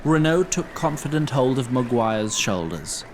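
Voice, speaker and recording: A man's voice: medium pitch, big pitch swings, a little slow, background noise. A man playing a wry, grandiose storyteller who narrates a fantasy tale in theatrical style and wanders into droll asides. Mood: storytelling.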